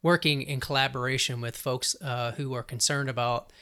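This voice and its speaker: A man answering as an interview guest, reflective and deliberate, halting often as he picks academic wording. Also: medium pitch, steady tone, bright, forceful tone, smooth. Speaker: interview guest, multilingual writing specialist.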